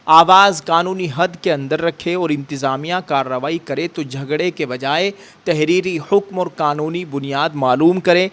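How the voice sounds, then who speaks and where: A man's voice: on the high side, a bit bright. A man presenting scripted news commentary to viewers in a formal, emphatic manner, often posing rhetorical questions.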